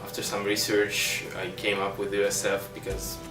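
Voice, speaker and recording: A man's voice: on the low side, completely flat delivery, moderate pace, reverberant, distant mic, over music. A young man from Ukraine with a Ukrainian accent, a university student speaking thoughtfully with some hesitations. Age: young adult. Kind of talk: interview testimonial.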